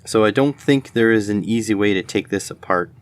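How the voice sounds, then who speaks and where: A man's voice: fairly low pitch, fairly quick. A man giving casual hands-on commentary as host of an electronics teardown video, tentatively thinking aloud as he goes.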